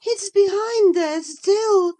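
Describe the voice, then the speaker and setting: Woman putting on a high, delirious young lady, feverish and pleading, soft mellow tone, slow. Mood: terrified. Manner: dreamy then panicked, pleading. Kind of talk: audiobook character reading.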